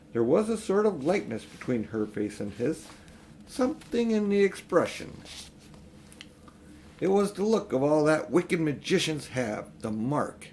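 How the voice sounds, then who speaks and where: Animated older man reading a storybook. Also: a little high, slight background noise. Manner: expressive, emphatic storytelling. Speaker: audiobook reader. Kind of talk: children's storybook narration.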